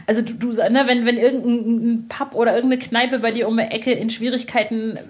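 A woman's voice: on the high side, steady tone, fairly quick, a little mellow. A woman chatting casually in a candid, informal and colloquial way. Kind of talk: casual chat.

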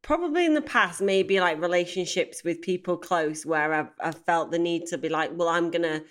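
A woman's voice: on the low side, moderate pace. A woman co-hosting a casual podcast chat, reflective and wry about her own habits.

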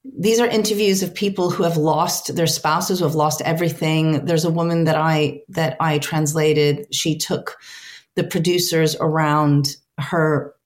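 A woman's voice: deep voice, steady tone, unhurried. A woman whose first language is Ukrainian, answering interview questions as a translator, earnest and restarting often as she searches for words. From United States, Ukrainian family.

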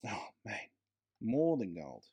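A man's voice: on the low side, huge pitch swings, slow pace, warm tone, breathy edge. Enthusiastic Australian man chatting casually. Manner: enthusiastic, given to emphatic grand claims. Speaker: podcast co-host. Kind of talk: casual film discussion.

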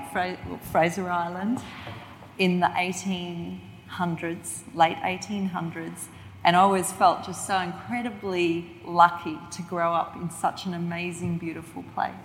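A woman with an Australian accent from southeast Queensland, addressing a public panel event as its chair. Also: fairly low pitch. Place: indoor hall on a stage. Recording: echoey hall, audible room noise.

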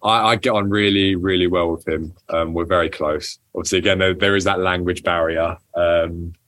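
A young man speaks in a deep, low voice, recorded dry and close. He answers an interviewer casually, often restarting his sentences. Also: steady tone, moderate pace, fairly bright tone, no echo. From England. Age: young adult. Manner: hesitant, many restarts and ums, laughs often. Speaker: footballer guest.

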